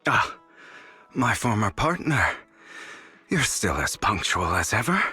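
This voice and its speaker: A man's voice: fairly low pitch, sing-song, slow pace, pushed and bright, slightly rough, full character voice. An actor playing a suave, theatrical young man in a video game, wry, resolute and elegant in his phrasing. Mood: weary, teasing. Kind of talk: dramatic video game voice acting. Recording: music behind the voice.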